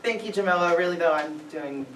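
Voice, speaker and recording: A woman's voice: on the low side, brisk, lightly acted. A woman playing a mild, polite party guest in a staged play reading, speaking in an understated way. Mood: reassuring. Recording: distant echoey room, audience noise behind.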